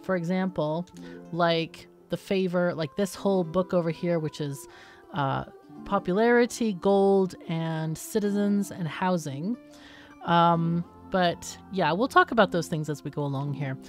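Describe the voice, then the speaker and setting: Woman doing a chill let's play, kinda low voice, explains as she goes, faint music behind her. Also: unhurried. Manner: explanatory, thinking aloud. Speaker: gaming video host. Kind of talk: casual let's-play commentary.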